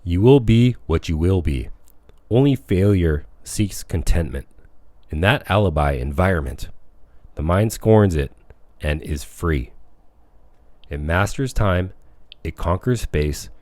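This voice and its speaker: A man narrating a self-help audiobook in a measured, emphatic way, phrase by phrase. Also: low voice, lively intonation, a little mellow, dry recording. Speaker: audiobook reader.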